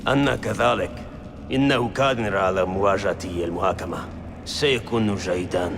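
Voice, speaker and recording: In an echoing hall over music, a stern member of a secret council. The voice is low and gravelly, and he delivers terse, decisive lines very fast. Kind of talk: scripted game dialogue.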